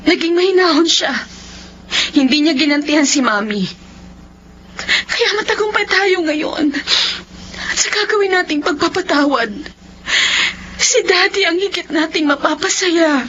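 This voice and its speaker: A woman's voice: very high voice, measured pace, pauses often, a bit bright, slight background noise. An actress playing a young woman doctor, a bitter and emotional daughter with a tearful, resentful manner. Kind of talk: radio drama acting. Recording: dull lo-fi with faint music.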